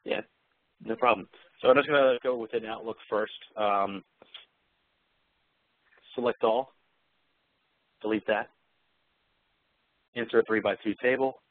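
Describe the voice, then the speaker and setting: A man giving a live software demonstration, explaining features and speaking voice commands as he goes. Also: fairly low pitch, fairly even intonation, unhurried, long pauses, no room sound, phone-quality sound.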